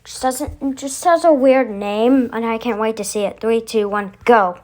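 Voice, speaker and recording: A woman's voice: a little high, moderate pace, darkish tone. A woman hosting casually, musing aloud as she announces each step of a voice effects demonstration. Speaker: video host.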